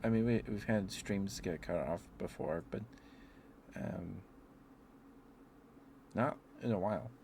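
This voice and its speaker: Slow-talking man, low voice, noisy recording. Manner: apologetic, gentle rambling. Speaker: livestream host. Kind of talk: ASMR livestream, casual chat with viewers. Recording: clearly noisy background.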